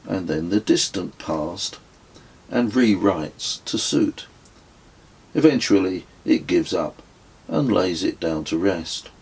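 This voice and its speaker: A man reading his own travel memoir as an audiobook, with a husky voice and measured, deliberate storytelling. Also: fairly low pitch, darkish tone, low-quality recording. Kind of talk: scripted audiobook narration.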